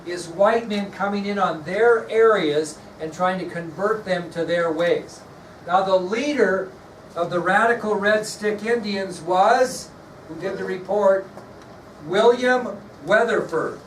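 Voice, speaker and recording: Casting: American male schoolteacher, high voice, explanatory lecture delivery with repeated key phrases, picked up from across the room. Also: measured pace. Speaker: history teacher. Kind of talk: classroom lecture with questions to students. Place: classroom. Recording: echoey room, distant mic, background noise.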